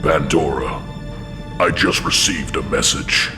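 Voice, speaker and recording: Man voicing a very deep, stern alien general. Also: steady tone, slow pace. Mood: grave, firm. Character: grave male alien general, blunt and stern. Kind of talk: audio drama acting. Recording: long cavernous reverb under loud music.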